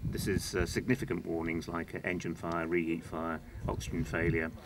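An older man giving an informal guided technical tour as a pilot, explaining controls in a halting, matter-of-fact way. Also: sits low, little pitch movement. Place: inside a small jet cockpit on the ground. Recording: loud outdoor ambient and vehicle noise.

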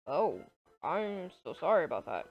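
A woman's voice: sits low, sing-song, moderate pace, dull audio, faint music behind, pitched below the performer's own voice. A woman voicing an awkward, flirty boss man in an improvised game roleplay, talking hesitantly. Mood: sympathetic.

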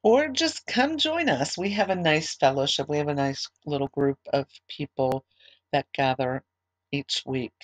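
A slightly hoarse woman leading a small online religious service, giving announcements in a conversational way with fillers and restarts, then praying. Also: low voice, animated, measured pace, no echo. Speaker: ministry leader.

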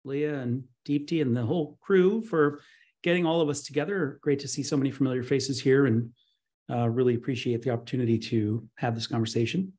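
A middle-aged man giving an online slide presentation in halting, pause-filled phrases. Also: medium pitch, a little mellow, breathy edge. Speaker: conference presenter, nonprofit co-founder. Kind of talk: slide presentation at a virtual expo. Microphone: webcam on a video call.

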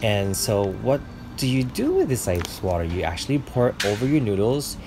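A man's voice: lowish voice, big pitch swings, normal speed, noisy background. A man in his forties narrating a casual vlog, explaining food and his neighborhood to viewers in a chatty way. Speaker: vlogger.

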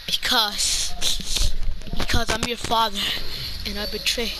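A player acting out an improvised video game roleplay, speaking haltingly and repeating words. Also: mid-range voice, little pitch movement. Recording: noisy home game recording with clicks.

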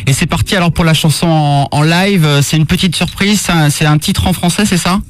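A man's voice: fairly high pitch, brisk. A man hosting a radio show, chatting casually into a studio microphone. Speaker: radio host. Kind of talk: casual live radio chat. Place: radio studio with guests around. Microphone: studio microphone on boom arm.

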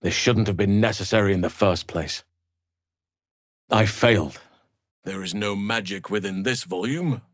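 An actor playing a big, calm, earnest male elf druid in a fantasy video game, speaking in a measured, gentle, solemn way. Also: fairly low pitch, dry recording, studio quality, lightly acted.

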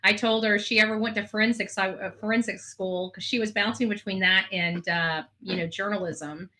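A woman's voice: medium pitch, steady tone, brisk, bright, forceful tone. A middle-aged American woman chatting casually on a video call, frank and informal in the way she talks. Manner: frank, informal, self-deprecating. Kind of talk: casual chat. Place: indoors at a desk on a video call.

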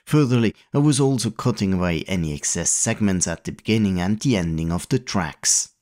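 Low-ish guy narrating a retro tech tutorial, calm and step by step. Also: brisk. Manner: calm, methodical explaining. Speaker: retro computing video host. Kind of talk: scripted tutorial voice-over.

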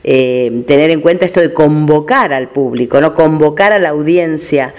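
An Argentine man hosting a conversational radio interview, haltingly searching for words as he frames his questions. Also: fairly high pitch, a little mellow.

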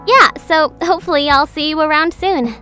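A woman playing a bright, high-voiced friendly girl. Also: normal speed, faint music behind. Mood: friendly, upbeat. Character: friendly, chatty young cafe worker girl. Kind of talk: acted dialogue in an anime-style story.

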